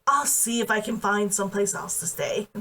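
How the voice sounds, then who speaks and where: A woman's voice: mid-range voice, normal speed, sharp tone. A woman reading the lines of a guarded, protective man in a novel, with a restrained, questioning manner. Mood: restrained concern. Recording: distant from the mic.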